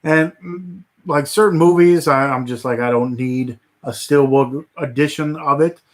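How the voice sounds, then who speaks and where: A man hosting a casual livestream, rambling with many fillers and restarts, his voice starting to go hoarse. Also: a little high, measured pace. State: voice getting hoarse. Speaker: livestream host.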